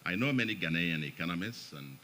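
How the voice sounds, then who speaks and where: A middle-aged Nigerian man addresses a forum audience, his very deep voice carrying a bright, forceful edge. He keeps it loose, slipping in joking asides between points. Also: animated, fairly quick, a little hiss or hum. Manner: chatty, joking asides, chuckles between remarks. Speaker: guest speaker at an economic forum. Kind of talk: public speech, partly read. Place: event hall, in front of an audience.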